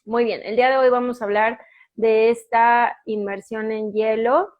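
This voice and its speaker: A woman's voice: fairly high pitch, flat delivery, measured pace, lo-fi sound. Chatty Mexican woman hosting a live stream. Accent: Mexican Spanish. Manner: chatty, many fillers, restarts while waiting on guest. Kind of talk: casual live stream chat.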